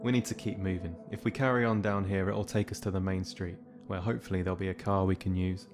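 A man's voice: sits low, level tone, quick pace, darkish tone. Man acting a terse, commanding soldier. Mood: tense, focused. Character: gruff, impatient male soldier leading survivors of a zombie outbreak. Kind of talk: audio drama acting.

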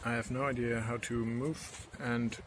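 A young man vlogging outdoors by a harbor into a handheld camera, explaining his model boat slowly and searching for words. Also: medium pitch, level tone. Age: young adult. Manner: slow, searching for words, non-native phrasing. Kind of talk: casual vlog explaining to camera. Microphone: action camera with furry windscreen mic held close. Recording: outdoor wind and traffic noise.

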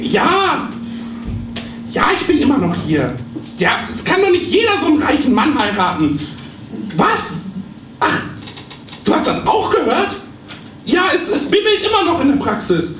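A man's voice: extremely high pitch, huge pitch swings, a little slow, pauses often, a bit bright, exaggerated voice. A man playing a gossipy, flustered female receptionist on the phone in a comic live stage radio play, exclaiming and dithering. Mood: exasperated. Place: small theatre stage. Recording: echoey, noisy live stage from far off.

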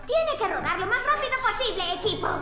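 A woman playing a confident, excitable little superhero girl in a Castilian Spanish cartoon, showy and bossy. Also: extremely high pitch, brisk, over loud music. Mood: rallying. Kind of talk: children's cartoon dub.

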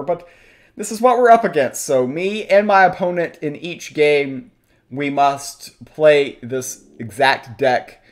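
A young man giving casual, explanatory game commentary in a rambling, matter-of-fact way. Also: a little high. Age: young adult. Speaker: gaming video host. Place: small home room, indoors.

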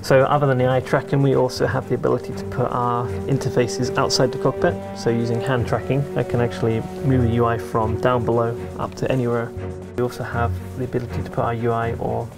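A man engineer giving a steady technical demonstration of a virtual cockpit. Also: medium pitch, fast, gravelly, hardly any pauses. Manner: flat, steady technical explaining. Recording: music bed underneath.

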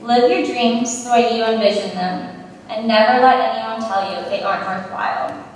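A young woman giving a measured, uplifting commencement speech at a podium in a large hall. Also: fairly high pitch. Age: young adult. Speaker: student speaker. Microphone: podium microphone. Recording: echoey hall from far off, faint hiss.

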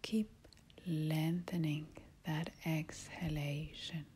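A woman leading a guided meditation as an instructor, speaking in a soft, half-whispered, breathy voice, calm and soothing with long pauses. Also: sits low, a little hiss or hum. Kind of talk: slow guided meditation narration.

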